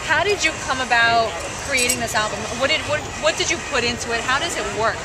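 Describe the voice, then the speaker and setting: A woman's voice: high-pitched, fast. A woman hosting a casual interview, enthusiastic and gushing. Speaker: host. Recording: music and crowd noise. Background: music and crowd.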